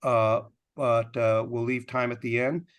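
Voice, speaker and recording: Man, panel moderator, halting delivery, lots of uh fillers, video call audio. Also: medium pitch, flat delivery, clean tone. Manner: halting, many uh fillers and restarts. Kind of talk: hesitant spoken introduction.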